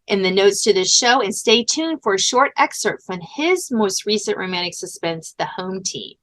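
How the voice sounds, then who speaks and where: A woman speaks as the host of an interview podcast. Her voice sits at an average pitch. The style is casual and conversational. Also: animated, moderate pace.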